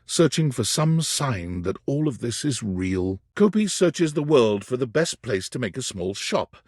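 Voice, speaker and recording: A man's voice: mid-range voice, very animated, unhurried, darkish tone, slightly rough, dry recording, studio quality. A man narrating a quirky romance video game in a dramatic, descriptive storytelling manner. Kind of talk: scripted game narration, second person.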